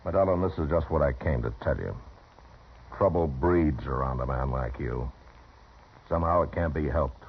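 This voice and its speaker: A man's voice: extremely low pitch, moderate pace. A man acting a stern, world-weary U.S. marshal in a 1950s radio western, speaking bluntly and with terse command. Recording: muffled, noisy 1950s radio recording.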